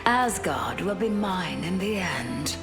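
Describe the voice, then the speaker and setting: An actress voicing a cold, imperious, power-hungry villainess with a haughty, menacing delivery for a video game. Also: female voice, on the low side, big pitch swings, slow, vocal fry, exaggerated voice. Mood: cold menace. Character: cold imperious villainess who covets a throne. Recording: long reverb, music behind.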